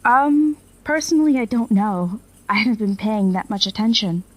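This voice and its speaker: A woman's voice: a little high, moderate pace, natural voice, poor audio. A woman acting in an audio drama as a loyal informant to villains, earnest and a little hesitant, in a naturalistic style. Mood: reporting, unsure.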